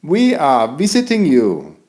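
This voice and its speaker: A man's voice: fairly high pitch, huge pitch swings, slow pace, a little mellow, voice pushed up, full character voice. A man playing an eager, adventurous tomcat who exclaims his way through a children's storybook. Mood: eager greeting. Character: excitable adventurous male tomcat, storybook hero.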